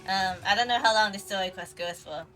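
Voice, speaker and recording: A woman's voice: mid-range voice, brisk, fairly bright tone. A woman chatting casually on a game livestream in a hesitant, rambling way, often trailing off. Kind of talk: casual game stream chat. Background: faint game music.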